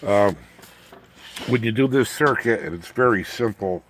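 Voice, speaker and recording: A man's voice: on the low side, unhurried. A man presenting an informal hands-on electronics demonstration in a folksy, rambling, plain-spoken way. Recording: clear background noise, room ambience.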